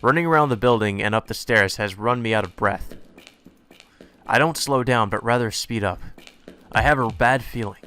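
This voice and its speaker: A man's voice: mid-range voice, normal speed, bright, forceful tone, clean tone, natural voice. A man voicing an earnest young male hero, anxious and determined, narrating his own story in a visual novel.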